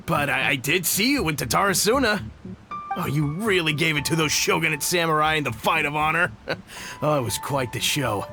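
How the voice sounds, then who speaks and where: An actor playing an eager, admiring, talkative foot soldier in a video game, with an effusive manner. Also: male voice, on the high side. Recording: game music underneath.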